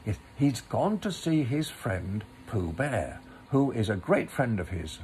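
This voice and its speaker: A man's voice: mid-range voice, big pitch swings, unhurried, darkish tone, slight background noise. A man voicing a fussy, evasive storybook rabbit with a prim, curt manner. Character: evasive, fussy male rabbit, unwilling host. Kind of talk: storybook character voice.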